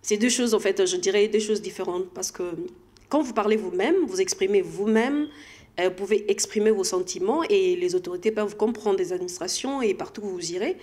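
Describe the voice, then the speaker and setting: A woman's voice: mid-range voice, a little fast, darkish tone. A woman from the Democratic Republic of the Congo with a Congolese accent, answering interview questions conversationally and hesitantly as she searches for words. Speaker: interview guest.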